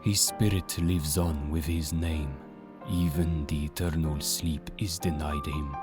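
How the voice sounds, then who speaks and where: A man's voice: a bass voice, steady tone, over music. A man playing a solemn, mysterious servant of a death god in an audio drama, speaking formally and ominously. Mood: sorrowful solemnity. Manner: slow, ominous, formal delivery.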